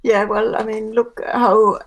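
A woman's voice: a little high, flat delivery, slow pace. A woman from Estonia who analyses politics in a podcast discussion, earnest and firm.

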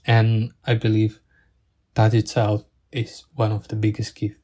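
A young man who is not a native English speaker gives an earnest thank-you monologue, halting and searching for words as he goes. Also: fairly low pitch, monotone, dark tone. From outside the UK. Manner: earnest and grateful.

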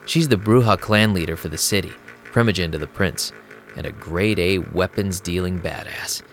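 An actor playing a young vampire DJ who narrates an audio drama in a casual, streetwise and sarcastic way. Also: male voice, sits low, moderate pace, slight background noise. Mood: wry, casual. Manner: casual, wry. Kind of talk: first-person audio drama narration and dialogue. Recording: music behind the voice.

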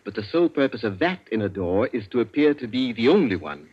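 A man's voice: medium pitch, sing-song, slightly rough. An actor playing a smug, urbane male inventor held captive, who drawls and mocks his captors in a 1940s radio play. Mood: sly. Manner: drawling, mocking, unhurried. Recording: dull lo-fi vintage radio recording.

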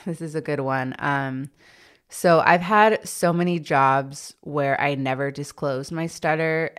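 Podcast host, woman who stutters, low voice, slow and candid. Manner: stutters, with blocks and repeated sounds; candid and reflective, with many fillers. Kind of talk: casual solo podcast answering listener questions.

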